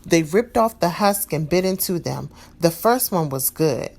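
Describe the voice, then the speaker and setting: Low, gravelly woman reading a storybook. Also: a little slow. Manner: calm, expressive read-aloud. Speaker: storybook reader. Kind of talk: children's storybook read-aloud.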